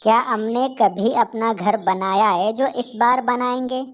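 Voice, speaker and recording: A woman's voice: fairly high pitch, unhurried, natural voice. A woman voicing a bossy, scheming crow wife in a cartoon, scolding and commanding.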